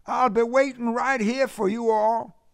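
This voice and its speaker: Man voicing a loud, high-pitched hot-headed Southern uncle. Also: fairly even intonation, a little slow, vocal fry, clean tone, no room sound, clean studio sound, full character voice. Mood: distressed, irritated. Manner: loud, complaining, folksy, boastful. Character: brash hot-tempered Southern uncle, former boxer and tall-tale teller. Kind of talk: audiobook character voice in a period story.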